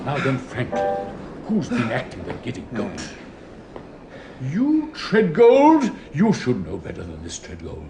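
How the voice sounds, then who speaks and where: An actor in a radio play as a pompous, sentimental older public-school headmaster with an upper-class English accent, declaiming in fussy, plummy tones. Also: male voice, mid-range voice, huge pitch swings, unhurried, mellow, faint music behind. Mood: reproachful. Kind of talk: theatrical British radio play acting.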